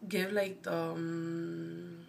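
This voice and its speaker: A woman's voice: fairly low pitch, level tone, very slow, creaky, a little hiss or hum. Rambling Mexican American woman vlogger. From Chicago, United States. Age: 20s. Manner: rambling, meandering, often corrects herself. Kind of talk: casual chat to camera.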